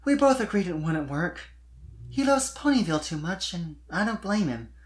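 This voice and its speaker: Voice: mid-range voice, sing-song, normal speed, voice pushed up, close to an everyday voice. A performer voicing an exasperated young female pony pop singer from a storybook, wry and wistful, with dramatic, emphatic, teasing delivery.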